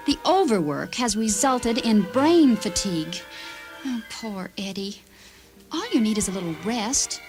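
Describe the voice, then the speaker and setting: An actress as a condescending, higher-voiced fiancée. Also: female voice, close to an everyday voice. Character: cool, scornful, brisk fiancée lecturing her man. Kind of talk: radio short-story drama. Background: music.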